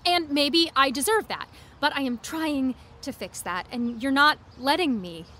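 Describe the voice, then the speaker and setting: An actress playing a pushy, well-meaning teenage best friend in a naturalistic audio drama, earnest and insistent. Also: female voice, high-pitched, expressive, measured pace, sharp tone, full character voice. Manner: insistent, earnest, problem-solving.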